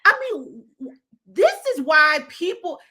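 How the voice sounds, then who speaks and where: High, lively woman co-host. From Maryland, United States. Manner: animated, mocking, quick to agree. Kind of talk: casual political chat.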